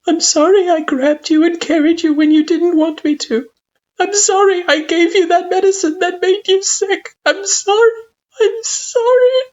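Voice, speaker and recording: Woman voicing a high, tender monster mother. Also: moderate pace, a little mellow, lo-fi sound, natural voice. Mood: tearful, pleading. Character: tender, anxious clawed monster mother, formal-spoken.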